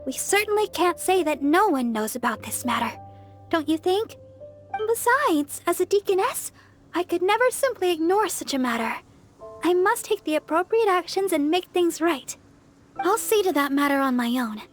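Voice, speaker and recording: A woman playing a gentle, earnest young deaconess in a video game, speaking softly, politely and a little hesitantly. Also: very high-pitched, sing-song, normal speed, faint music behind. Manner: soft, sweet, polite, hesitant. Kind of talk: English video game voice acting.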